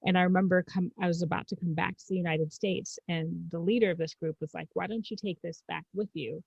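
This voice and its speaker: A woman's voice: lowish voice, steady tone, fast, gravelly, breathy edge, no echo. A woman from Zambia co-hosting a podcast, speaking in a reflective, encouraging way. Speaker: podcast co-host. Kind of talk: casual podcast conversation. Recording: dull dry lo-fi sound.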